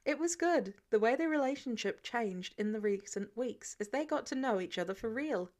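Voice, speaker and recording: A woman reading a story aloud as expressive scripted narration, in the manner of an audiobook. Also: fairly high pitch, normal speed. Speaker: fan fiction audiobook reader.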